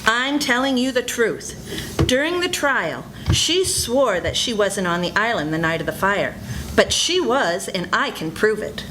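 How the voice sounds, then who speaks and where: A woman's voice: medium pitch, big pitch swings, unhurried, background noise. An older woman playing a nervous, suspicious friend in an amateur old-time radio melodrama, speaking urgently and fearfully.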